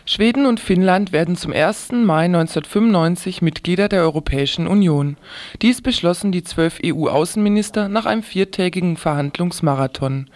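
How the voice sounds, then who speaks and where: High-pitched male radio news reader. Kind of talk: scripted news reading.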